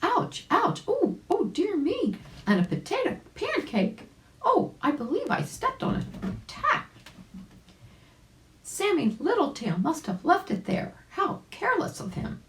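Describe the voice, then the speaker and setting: A woman voicing a fussy, exclamatory old gentleman rabbit in a children's storybook, with old-fashioned turns of phrase. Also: very high-pitched, huge pitch swings, unhurried, voice pushed up, heavily acted. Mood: pained, flustered. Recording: roomy, echoing home recording.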